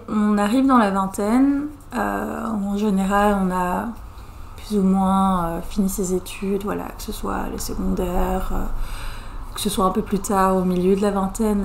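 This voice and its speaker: A woman's voice: mid-range voice, a little mellow. A woman, a psychologist talking to camera on her own channel, thinking aloud with many hesitations and restarts. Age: 30s. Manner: thoughtful, many fillers and restarts, pace swings. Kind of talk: casual talking-head explanation.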